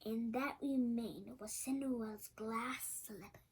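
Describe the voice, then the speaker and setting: A woman's voice: on the high side, fairly even intonation, a little slow, slightly rough. A woman reading a fairy tale aloud expressively, with chatty asides and stumbles over words. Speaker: storybook reader.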